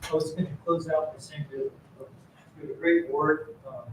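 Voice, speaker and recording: A man's voice: fairly high pitch, steady tone, normal speed. A man on a town board introducing interns at a public meeting, rambling with many fillers. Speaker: board member presenting interns. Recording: distant echoey mic, noise.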